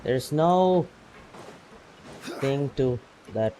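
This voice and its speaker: A man's voice: mid-range voice, lively intonation, drawn-out words, warm tone, slight background noise, rough recording. A man doing casual live game commentary as a streamer, with short, playful remarks. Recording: faint music behind. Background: game music.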